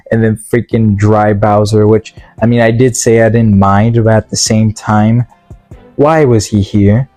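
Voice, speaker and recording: A reviewer giving a casual, opinionated movie rant in an exasperated, halting way, often repeating words. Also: mid-range voice, mellow. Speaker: video reviewer.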